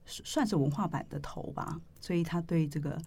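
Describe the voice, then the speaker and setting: A middle-aged woman with a Taiwanese Mandarin accent hosts a podcast interview, telling stories conversationally in deliberate, halting phrases. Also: low voice, vocal fry, darkish tone, slightly rough. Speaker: podcast host, publishing editor-in-chief. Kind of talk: conversational interview with reflective storytelling.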